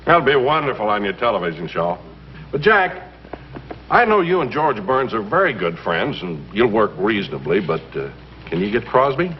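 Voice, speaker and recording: A man's voice: on the low side, big pitch swings, normal speed. A man, the show's announcer, acting in a 1950s radio comedy. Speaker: show announcer. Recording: dull, faintly noisy 1950s radio broadcast.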